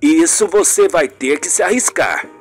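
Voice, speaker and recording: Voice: medium pitch, animated, moderate pace, faint music behind, natural voice. A performer voicing an earnest male friend who gives firm advice in an amateur radio soap opera. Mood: urging.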